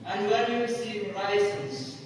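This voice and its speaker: Man acting slow, high-voiced heavenly spirit, echoing. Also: steady tone. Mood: solemn, soothing. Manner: slow, solemn proclaiming. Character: solemn, gentle heavenly spirit guiding a child. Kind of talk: amateur stage play acting. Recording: very echoey hall, distant mic, noisy.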